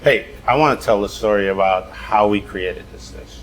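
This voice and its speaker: A man from Guyana, a chef chatting casually and telling a lively story with quick bursts. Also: on the low side, poor audio. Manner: animated storyteller, quick bursts, laughs often. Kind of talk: casual chat.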